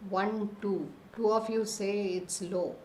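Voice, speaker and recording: A woman teaching a live online parenting class over a computer microphone, reading out the answers people type into the chat. Her voice sits at a middle pitch and sounds a little roomy, with faint noise in the background. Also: fairly even intonation, slow pace, darkish tone. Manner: reads lists of answers aloud, checks in with the class often. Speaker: online parenting workshop host. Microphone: computer mic on a video call.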